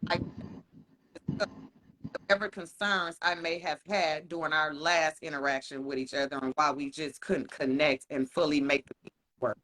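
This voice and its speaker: Frank, profane podcast co-host with a bright voice. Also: male voice, a little high, level tone, low-quality recording. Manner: frank and profane, laughs often, slows down to stress points. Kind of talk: casual podcast chat.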